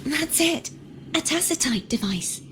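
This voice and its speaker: Composed young game heroine, high voice, earnest n measured, bit formal, water noise behind her. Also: animated. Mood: calm, focused. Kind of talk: video game voice acting.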